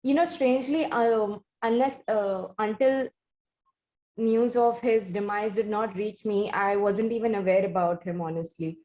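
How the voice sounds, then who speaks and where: A woman with an Indian accent hosting a conversational interview, speaking in halting, drawn-out phrases. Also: medium pitch, dark tone. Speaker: interview host. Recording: muffled, echoey room sound.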